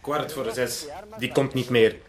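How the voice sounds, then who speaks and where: A man's voice: medium pitch, steady tone, measured pace, crowd noise, close to an everyday voice. An actor playing a tense, domineering and suspicious male conspirator in a radio drama, speaking insistently. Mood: suspicious, uneasy. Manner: insistent, commanding. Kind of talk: radio drama acting, switching between Spanish and Dutch.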